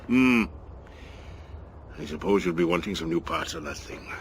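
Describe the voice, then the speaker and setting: An actor playing a gruff, fatherly old equipment dealer who scolds and cares, in a video game cutscene. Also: low-pitched, expressive, moderate pace, background noise. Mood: fatherly concern. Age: older. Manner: scolding, paternal, emphatic.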